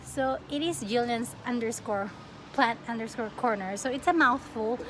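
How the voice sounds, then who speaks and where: A young woman from the Philippines chatting casually as a plant vlogger, expressive and often breaking off mid-sentence. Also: on the high side, moderate pace. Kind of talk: casual get-to-know-me question-and-answer chat. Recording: clearly noisy home recording.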